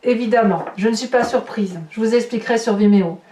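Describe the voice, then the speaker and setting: A woman's voice: fairly high pitch, fairly quick, dark tone. A woman improvising a tarot reading for her viewers, speaking expressively and addressing them directly. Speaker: tarot reader hosting a horoscope video. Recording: roomy echo, distant microphone.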